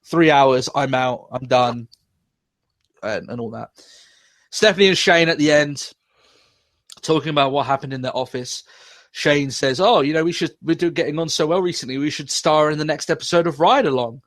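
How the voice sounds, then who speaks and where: A young man hosts a podcast in loose, rambling chat. He swears freely and laughs often. His voice sits a little high and sounds dry and close to the mic. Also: normal speed, no echo. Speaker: podcast host. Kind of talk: casual wrestling review chat.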